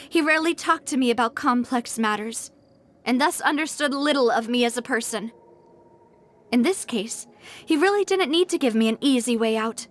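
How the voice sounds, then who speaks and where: A woman's voice: sits high, close to an everyday voice. An actress playing a proud, wounded young noblewoman in a video game, refined and emotionally restrained. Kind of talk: dramatic video game cutscene acting.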